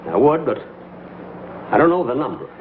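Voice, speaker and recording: A man's voice: medium pitch, sing-song, normal speed, a crowd in the background, natural voice. An actor playing a jittery, exasperated lunch counter man in a 1960s broadcast comedy sketch. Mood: defiant. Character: jittery, put-upon lunch counter man turning bold. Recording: tinny, noisy old broadcast with audience.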